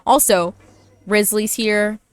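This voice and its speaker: A young woman giving casual, blunt, joking commentary as she plays a video game, in a clear, smooth voice. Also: mid-range voice, steady tone, takes it slowly. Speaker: gaming YouTuber.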